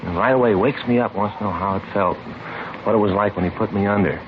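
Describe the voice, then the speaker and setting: A man's voice: fairly low pitch, fast talker, natural voice. An American man acting as a tired, put-upon police detective, chatty and aggrieved, in a 1950s radio drama. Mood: exasperated. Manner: weary, chatty, aggrieved storytelling. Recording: muffled, hissy vintage radio recording.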